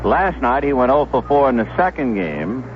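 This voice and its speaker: A man's voice: medium pitch, very animated, moderate pace, highs cut off, noisy background. A man giving live play-by-play baseball commentary as a radio announcer on a 1970s broadcast.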